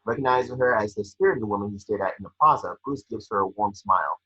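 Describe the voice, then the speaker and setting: A man's voice: fairly low pitch, quick pace, bright, forceful tone, husky, dull audio. A man reading screenplay scene directions aloud as narrator, stumbling over words now and then.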